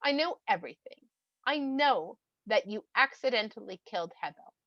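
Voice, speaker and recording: A woman's voice: a little high, lively intonation, moderate pace, no room sound, muffled recording. A woman voicing God as a patient, kindly figure who turns firm when scolding. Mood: stern. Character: God, a patient, kindly, then stern parent.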